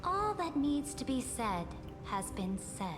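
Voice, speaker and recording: A coldly commanding empress in a dramatic game scene. Her high voice takes its time with regal deliberation, composed and imperious, over loud music. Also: sing-song, slow, full character voice. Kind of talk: dramatic video game voice acting.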